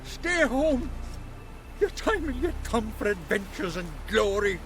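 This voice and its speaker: An actor playing a plain-spoken, middle-aged village father in a video game, folksy and emphatic, with a creaky voice. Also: high-pitched, very animated, unhurried, a bit bright, full character voice. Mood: grieving. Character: plain-spoken village father, fretful and fond of his son. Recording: music behind the voice. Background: game music.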